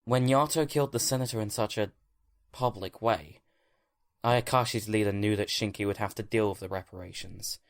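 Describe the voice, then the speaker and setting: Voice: mid-range voice, normal speed, natural voice. A performer playing an earnest, guilt-ridden young agent in a fan audio drama, anxious but resolute, sighing and pleading. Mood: grave, explaining.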